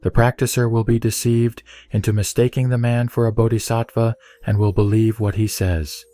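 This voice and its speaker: A man reading a Buddhist scripture aloud in a measured, steady recitation. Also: medium pitch, no echo. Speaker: scripture reader. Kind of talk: solemn scripture reading over music. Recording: soft background music.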